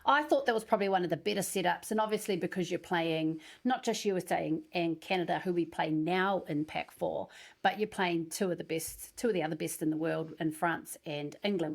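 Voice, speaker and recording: A woman from New Zealand, a former rugby player co-hosting a podcast, telling stories in a relaxed, easygoing way. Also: fairly low pitch, fairly quick, creaky. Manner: relaxed, easygoing storytelling with fillers. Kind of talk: casual podcast chat.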